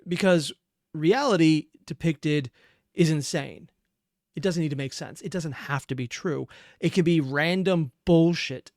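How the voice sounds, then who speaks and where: A man's voice: a little high, a little mellow, no echo, clean studio sound. A man hosting a reaction video, giving earnest, analytical commentary in a reflective way. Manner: earnest, analytical, deliberate emphasis. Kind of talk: reflective commentary on a fan dub.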